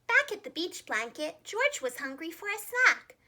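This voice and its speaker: Female children's storyteller, preschool-teacher manner, extremely high register, lively intonation, clearly enunciated. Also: moderate pace. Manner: animated, expressive, carefully enunciated.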